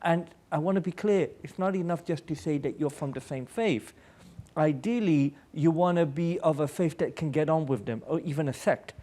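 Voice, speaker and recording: A man's voice: a little high, moderate pace, mellow. A Pakistani-born American man speaking as an aid worker at a public panel, telling personal anecdotes in an earnest, emphatic way.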